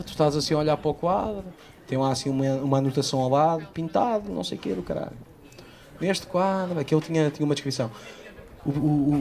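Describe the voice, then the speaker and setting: A man with a high, light voice and a European Portuguese accent tells his stories live on a small open-air stage at night. He is in his thirties and holds the microphone in his hand. He tells his tales with energy and acts out the people in them. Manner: animated storytelling, acting out quoted voices. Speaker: stand-up comedian. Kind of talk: live stand-up routine. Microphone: handheld microphone.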